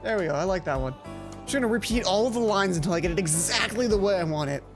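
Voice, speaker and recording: A woman's voice: medium pitch, fast. A woman hosting a let's play, reading a visual novel aloud with casual asides, often correcting herself and reworking lines. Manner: animated, self-correcting, repeats lines to rehearse them. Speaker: let's play host and narrator. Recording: music playing behind the voice.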